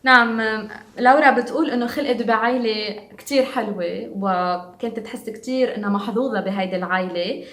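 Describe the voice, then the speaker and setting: A woman's voice: on the high side, rapid-fire, echoey room. A woman with a Lebanese Arabic accent, hosting a television interview and interpreting for viewers. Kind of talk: presenting and translating for viewers.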